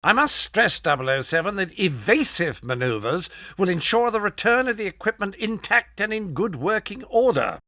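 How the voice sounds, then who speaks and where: An actor playing an exasperated older British gadget quartermaster in a video game, formal, precise and scolding. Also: male voice, sits high, sing-song, moderate pace, hardly any pauses, sharp tone, no room sound, clean studio sound, heavily acted. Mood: fussy, admonishing. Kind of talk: video game mission briefing.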